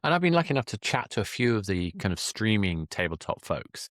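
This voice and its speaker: Low-voiced man hosting a thoughtful podcast. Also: sing-song, fairly quick, a bit bright, no echo, studio quality. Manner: thoughtful, analytical, thinks aloud. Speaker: podcast host. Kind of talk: conversational interview.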